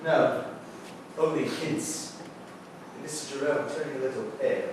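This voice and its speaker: A man in a period stage play, acting an earnest, stubborn young solicitor with formal, emphatic, halting speech. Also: mid-range voice, steady tone, natural voice. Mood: uneasy resolve. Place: indoor theatre stage, mic far off. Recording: very echoey theatre, distant mic, faint noise.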